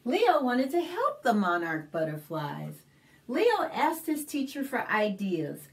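A middle-aged American woman hosting a storytime, reading a picture book aloud to young children in an expressive, deliberate way with simple explanations. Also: a little high. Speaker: children's librarian and storytime host. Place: small indoor room, plain backdrop.

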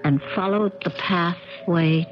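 An actress playing a concerned, loyal niece in a 1970s radio drama, speaking earnestly with careful diction. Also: female voice, lowish voice, fairly even intonation, slow pace. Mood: reflective. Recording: dull, crackly 1970s radio recording.

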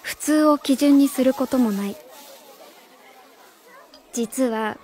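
High-voiced earnest young schoolteacher played by an actress. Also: female voice, slow pace, mellow, slight background noise. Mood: earnest resolve. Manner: formal, polite, earnest. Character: earnest, stiff young female schoolteacher, proud and vulnerable. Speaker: radio drama actress. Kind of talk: Japanese radio drama acting.

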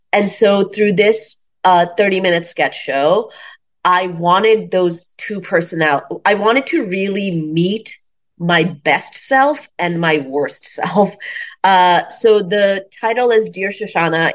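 Low-pitched woman from Bangladesh, chatty storyteller. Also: slow. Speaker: comedian, interview guest. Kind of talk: casual conversational interview.

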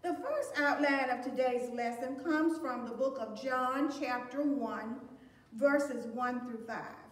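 A woman's voice: sits high, takes it slowly. An older woman teaching a Sunday school lesson, reading scripture and explaining it in an earnest, emphatic way, recorded from a distance in a plain room. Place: plain room against a beige wall. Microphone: fixed camera at a distance. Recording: echoey room, voice from far off.

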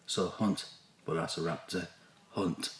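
A man reading a children's picture book aloud in measured short phrases with pauses. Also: deep voice, fairly even intonation, a little hiss or hum. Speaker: school staff reader. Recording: roomy, echoey sound.

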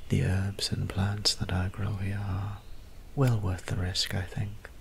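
A man playing a gentle, doting young vampire priest in an ASMR roleplay, speaking softly and half-whispering in polite, old-fashioned phrases. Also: sits low. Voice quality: soft, breathy, half-whispered. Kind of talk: soft-spoken ASMR roleplay. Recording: steady background ambience noise.

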